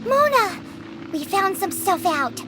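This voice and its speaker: Game fairy sidekick: tiny, eager, squeaky, very high and lively, music behind. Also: female voice, takes it slowly, heavily acted. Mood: excited, urgent. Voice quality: squeaky, childlike. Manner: speaks of self in third person. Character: small childlike floating fairy companion, eager and chatty. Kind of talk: video game voice acting.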